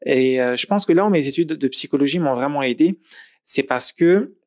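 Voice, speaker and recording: A man, a psychologist giving a thoughtful interview answer, measured and often pausing on fillers. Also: on the high side, darkish tone, no room sound, clean studio sound. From Mali.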